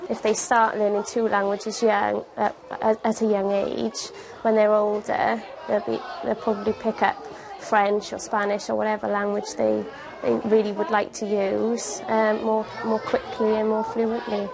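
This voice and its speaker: A woman giving a halting street interview, restarting her phrases. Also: mid-range voice, completely flat delivery, creaky.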